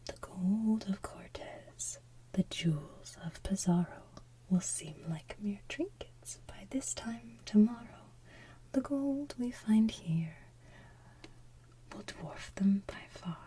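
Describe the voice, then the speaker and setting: A woman hosting ASMR in a hushed, breathy, playful way, moving between whispered chat and soft singing. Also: mid-range voice, animated, slow pace. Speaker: ASMR channel host.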